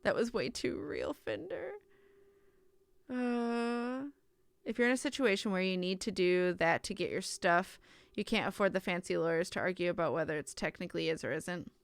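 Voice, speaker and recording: Woman streamer reading out a visual novel, smooth clean voice, trips on words and fixes them, chatty asides. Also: fairly low pitch, moderate pace, dry recording. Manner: self-interrupting, stumbles and corrects herself while reading. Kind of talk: reading game text aloud with casual stream chat.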